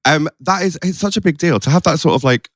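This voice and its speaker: A man's voice: a little high, lively intonation, fast, fairly bright tone, no room sound. A man hosting a podcast in a casual, playful, chatty way. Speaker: podcast host. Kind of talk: casual chat.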